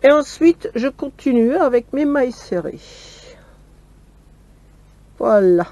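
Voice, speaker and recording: A woman casually showing her crochet work step by step, often pausing on "voilà", talking from a camper van table with the camera on her hands. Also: sits high, expressive, warm tone, no room sound. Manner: slow, step-by-step, fond of "voilà". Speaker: crochet vlogger. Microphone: camera filming her hands from close.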